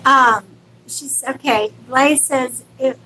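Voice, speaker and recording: Bright, high-pitched woman giving spiritual readings. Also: fairly even intonation, takes it slowly, a little hiss or hum, poor audio. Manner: affectionate, reassuring, uses endearments. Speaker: psychic medium hosting a live stream. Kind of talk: casual live spiritual reading to viewers.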